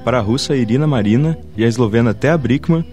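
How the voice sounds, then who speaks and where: A man with a Brazilian Portuguese accent reading a scripted radio report in brisk newsreader style. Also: medium pitch, a little mellow, faint music behind. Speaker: reporter narrator. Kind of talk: scripted narration.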